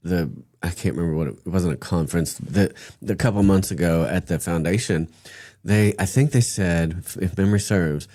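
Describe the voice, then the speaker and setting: Very deep-voiced male interviewer. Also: normal speed. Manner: warmly encouraging, brief affirmations. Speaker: host. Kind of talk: casual interview.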